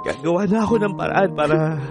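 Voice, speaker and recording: An actor playing a weary, wounded husband and father in a radio drama, speaking haltingly and pleadingly. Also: on the high side, natural voice. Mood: apologetic, resolute. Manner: halting, pleading, repeats words. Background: background music.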